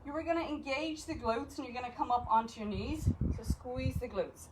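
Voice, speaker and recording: A woman's voice: sits high, a little fast, background noise. A woman with an Irish accent teaching a live exercise class, cueing each movement and counting reps with encouragement. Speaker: fitness instructor.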